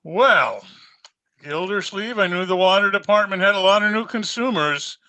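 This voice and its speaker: A man's voice: high voice, expressive, moderate pace, bright, forceful tone, heavily acted. A man playing a genial but wry small-town mayor in an old-time radio comedy.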